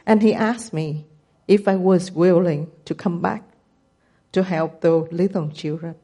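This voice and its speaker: A woman in her sixties with a Vietnamese accent, a missionary giving a testimony presentation with careful, measured non-native phrasing. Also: on the low side, steady tone, warm tone, husky. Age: 60s.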